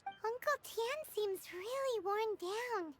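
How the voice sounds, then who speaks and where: A woman's voice: extremely high pitch, takes it slowly, slight background noise. A woman voicing a tiny, curious fairy girl companion in a video game, who talks about herself in the third person. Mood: chirpy.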